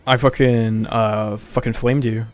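A man's voice: mid-range voice, level tone, a little slow. A man hosting a casual gaming livestream, talking in a hesitant, mumbly way with lots of swearing. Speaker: livestream host. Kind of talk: casual gaming stream chat.

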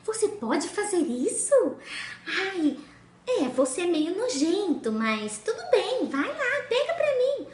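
A high, squealing storybook princess, young and fussy, recoiling at anything gross, as a woman puts her on. Her voice leaps all over the place. The room is echoey. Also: very animated, slow pace, slight background noise, exaggerated voice. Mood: squeamish. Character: young whiny spoiled princess girl.

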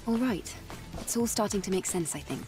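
Casting: actress for composed female fighter in game cutscenes, medium pitch, polite and businesslike, faint music behind. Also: lively intonation, fairly quick, recorded from a distance, lightly acted. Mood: calm, polite. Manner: reserved, matter-of-fact, polite. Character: composed, resolute young female soldier. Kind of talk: video game cutscene dialogue.

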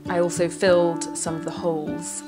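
A woman narrating a quiet slow-living vlog in an unhurried, plain-spoken way. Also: lowish voice, completely flat delivery, over music. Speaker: vlogger. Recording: background noise, at times roomy and distant.

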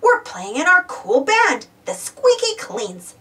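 A woman's voice: extremely high pitch, big pitch swings, takes it slowly, a bit bright, recorded from a distance. A woman reading a children's storybook aloud, exclaiming and putting questions to young listeners. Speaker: storybook reader. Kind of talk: animated children's read-aloud.

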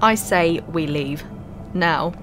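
A woman's voice: lowish voice, slow pace, poor audio. A woman playing a blunt, frightened young woman on an expedition in an audio play, speaking colloquially. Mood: blunt, scared. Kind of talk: dramatic audio play dialogue. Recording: music under the voice. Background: dramatic music.

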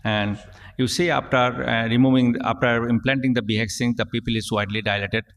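A middle-aged man, an eye surgeon, giving a technical conference lecture at a podium microphone, halting with many fillers and restarts. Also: mid-range voice, fairly even intonation, a bit bright. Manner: halting, frequent fillers and restarts, reading at a lectern. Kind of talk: technical lecture narrating surgical video. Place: large conference hall, at a podium. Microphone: podium gooseneck microphone. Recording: long hall reverb.

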